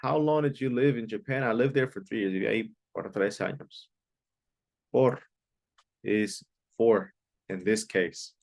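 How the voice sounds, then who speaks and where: A man's voice: on the low side, fairly even intonation, a little slow, vocal fry, long pauses, a little mellow. A man teaching an online English class, patiently repeating key phrases and sometimes explaining in Spanish. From Mexico.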